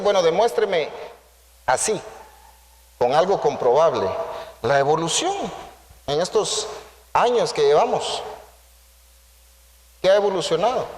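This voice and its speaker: A man with a Central American accent preaching conversationally to a congregation, animated and playful, full of rhetorical questions and acted-out dialogue. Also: high voice. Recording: echoey hall with long reverb.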